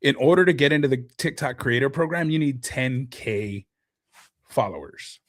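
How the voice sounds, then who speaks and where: A man who is a guest content creator, talking in excitable, quick bursts of explanation during a casual podcast chat. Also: mid-range voice.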